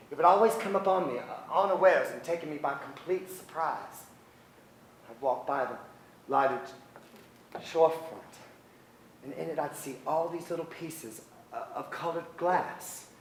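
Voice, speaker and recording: A middle-aged man playing a wistful, restless son who narrates his memories onstage, reflective and halting. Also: medium pitch, natural voice. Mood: haunted. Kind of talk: dramatic first-person stage narration. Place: stage of a large theater. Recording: echoey theater with faint noise.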